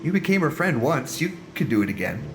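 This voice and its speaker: A man's voice: on the high side, very animated, fairly quick, music in the background. A man acting in an audio drama as a laid-back, blunt coworker with a casual, slightly hesitant, dryly teasing delivery. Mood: casual, reassuring.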